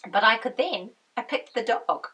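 A woman hosting her knitting podcast with a husky, croaky voice, chatting in a rambling, friendly way. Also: a little high, expressive, normal speed, bright, forceful tone, recorded from a distance. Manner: rambling, friendly, with many restarts and laughs. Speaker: podcast host and knitwear designer. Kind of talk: casual chatty monologue.

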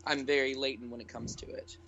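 A man's voice: mid-range voice, little pitch movement, quick pace, background noise. Rambling man on a dull lo-fi line. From Wales. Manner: rambling, with restarts and fillers. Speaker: podcast guest. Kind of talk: casual discussion.

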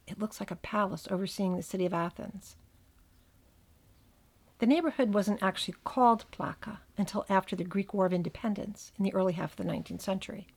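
A woman's voice: mid-range voice, a little mellow. A woman with a New Jersey accent hosting a podcast, giving scripted narration with wry, dry asides. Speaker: podcast host.

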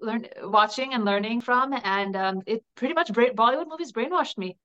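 A woman's voice: fairly high pitch, fairly quick, fairly bright tone, lo-fi sound. A woman in her thirties from New Jersey, a guest in an interview, speaking earnestly and chattily about her upbringing. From New Jersey, USA. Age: 30s. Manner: earnest and chatty, with frequent restarts and small laughs. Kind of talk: candid personal interview.

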